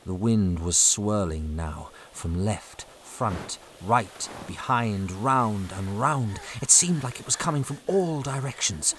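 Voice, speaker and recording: Guy narrating an adventure tale, deep slow voice, suspenseful pauses, loud noisy ambience behind him. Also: lively intonation. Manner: suspenseful, short weighted phrases with pauses. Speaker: storyteller. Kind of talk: dramatic scripted narration.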